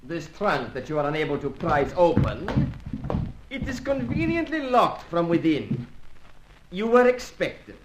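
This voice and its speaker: A man's voice: on the high side, big pitch swings, unhurried. A man playing a shady South American villain in a spy radio serial, formal and mock-polite with menace. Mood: gloating menace. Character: smooth-talking gloating villain, shady South American henchman. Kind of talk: old-time spy radio serial acting. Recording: faint background noise.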